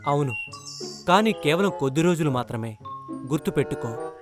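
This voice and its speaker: A man voicing a solemn cartoon holy sage who grants wishes and cautions in a measured way. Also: a little high, lively intonation, close to an everyday voice. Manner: measured, admonishing. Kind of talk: children's cartoon story dubbing. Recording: music bed behind the voice.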